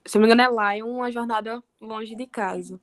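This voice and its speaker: A woman student putting a question to her teacher, with a slightly high voice. She talks tentatively and pauses to hunt for words. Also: steady tone. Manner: hesitant, searching for words. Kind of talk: asking a question in class.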